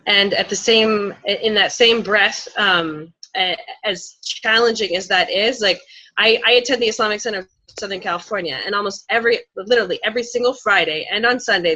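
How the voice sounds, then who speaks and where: Female panelist, bright forceful tone, medium pitch, conversational and personal, halting emphatic phrasing. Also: rough recording.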